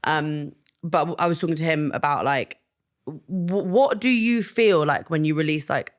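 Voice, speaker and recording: A woman hosting a podcast in casual chat, enthusiastic and quick to laugh, full of "like" fillers as she tells stories and asks questions. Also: low-pitched. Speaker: podcast host.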